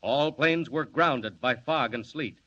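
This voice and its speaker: A man's voice: mid-range voice, takes it slowly, sharp tone. A man narrating a 1940s radio serial with a dramatic, sweeping delivery. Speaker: narrator and announcer. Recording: dull old radio recording with hiss.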